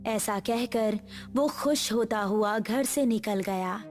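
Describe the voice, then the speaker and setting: A woman's voice: medium pitch, takes it slowly, darkish tone, soft background music. A woman reading a scripted horror story as its narrator.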